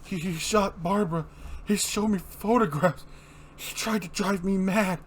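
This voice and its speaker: Man acting a distraught, high-strung police commissioner. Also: a little slow, slight background noise. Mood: anguished. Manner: emphatic, insistent, anguished. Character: distraught, shaken but principled male police commissioner. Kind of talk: dramatic reading of comic book dialogue.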